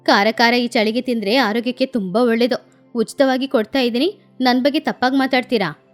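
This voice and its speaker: Woman as a stingy high-voiced bossy crow lady. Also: fairly even intonation, a little fast, soft background music, natural voice. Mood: offended. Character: stingy, scheming female crow selling food and water.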